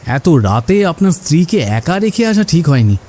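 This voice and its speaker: A man's voice: on the high side, big pitch swings, normal speed, warm tone, slightly rough, soft background music. A man playing a cautious, protective village husband in a horror cartoon. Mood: uneasy. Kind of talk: Bengali horror cartoon dubbing.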